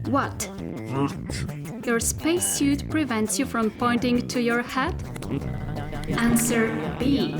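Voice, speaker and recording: A detached female machine intelligence , played by a woman, guides its human in a science fiction audio drama. The voice is fairly high and very slow, with deliberate, instructional phrasing. Music plays clearly behind it. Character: female spacesuit AI machine, patient, detached, rambling.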